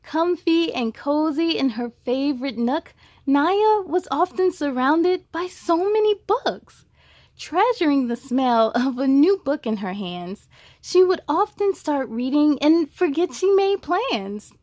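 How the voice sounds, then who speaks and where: A woman's voice: high-pitched, lively intonation, slow, darkish tone, no room sound. A woman hosting a children's read-aloud, enthusiastic and speaking straight to young listeners, with storybook reading and chatty asides.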